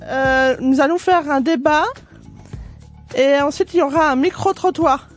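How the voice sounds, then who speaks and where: A woman's voice: high-pitched, measured pace, soft background music. A woman hosting an amateur radio show, announcing the topic and the guest. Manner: announcing, reading out the program.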